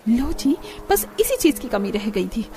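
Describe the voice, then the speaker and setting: A woman's voice: fairly high pitch, very animated, unhurried, background noise. A woman voicing a fed-up young village daughter-in-law in a cartoon story, grumbling in a whiny, sarcastic way. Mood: annoyed, whining.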